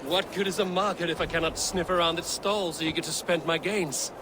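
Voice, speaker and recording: Guy playing a cocky viking raider in a game, kinda high voice, coaxing, noisy outdoors sound. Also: steady tone, fairly quick, natural voice. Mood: bold, coaxing. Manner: confident, coaxing. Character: bold, wily Viking raider flaunting silver. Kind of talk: video game dialogue acting.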